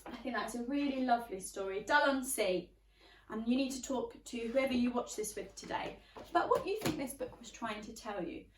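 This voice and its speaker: High-pitched, very animated woman reading a story. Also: recorded from a distance, a little hiss or hum. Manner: expressive, pausing to ask young listeners questions. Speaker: teacher reading a picture book aloud to children. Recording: roomy, echoey, away from the mic.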